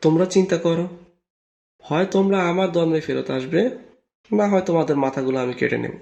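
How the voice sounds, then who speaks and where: A man voicing a haughty, stern pagan king who makes measured threats in a cartoon. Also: a little high, creaky, warm tone, roomy sound, muffled recording. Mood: stern, threatening.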